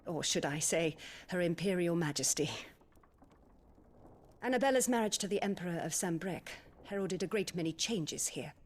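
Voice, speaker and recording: An actress playing a gentle, motherly, middle-aged widowed noblewoman in a video game, refined and tender. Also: lowish voice, animated, moderate pace, slight background noise, natural voice. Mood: wistful. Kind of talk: dramatic video game cutscene acting.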